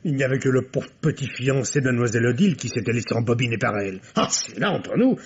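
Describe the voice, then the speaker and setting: A male actor playing a flamboyant, high-strung private secretary, effusive and stammering, in a French radio mystery play. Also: lively intonation, fast, slight background noise, natural voice. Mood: spiteful, gossipy. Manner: effusive, dramatic, indignant outbursts, stammering. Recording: dull vintage radio recording.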